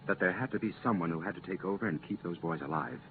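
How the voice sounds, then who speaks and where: A man plays an earnest official of a space commission in a vintage radio drama. His low voice rises and falls strongly as he explains hard choices with firm, regretful emphasis. The recording is muffled, with clear background noise. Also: fast, lightly acted. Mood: grave explaining. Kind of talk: 1950s radio drama acting. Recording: muffled, noisy 1950s radio transcription.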